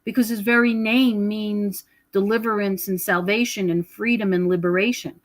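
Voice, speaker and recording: A mature woman talks as a guest in a conversational interview. She explains matters of faith earnestly, with a mid-pitched voice. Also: steady tone, normal speed. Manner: earnest, explanatory, quotes scripture. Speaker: podcast guest, author and researcher. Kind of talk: conversational spiritual teaching.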